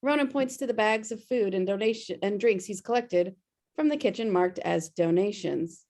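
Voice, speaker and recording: A woman's voice: mid-range voice, normal speed, darkish tone. A woman narrating stage directions at a script table read. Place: remote video call.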